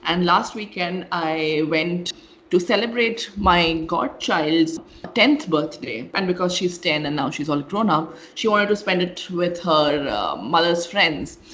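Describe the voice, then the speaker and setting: A woman from India, co-hosting a casual podcast and telling animated stories with laughs. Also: fairly low pitch, measured pace, a little hiss or hum, rough recording. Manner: animated storyteller, laughs mid-sentence. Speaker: podcast co-host. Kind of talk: casual chat.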